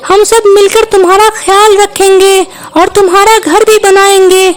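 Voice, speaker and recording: A woman's voice: very high-pitched, little pitch movement, normal speed. A woman voicing a brave, clever, kind young female bird in a children's cartoon, speaking in a reassuring, decisive way. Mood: caring, resolute. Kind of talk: Hindi children's cartoon voice acting.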